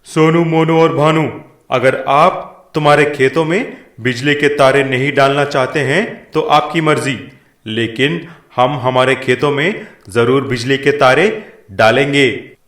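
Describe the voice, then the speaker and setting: A man playing a stern, stubborn village headman in an animated moral story, authoritative and deliberate. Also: mid-range voice, echoey room, lightly acted.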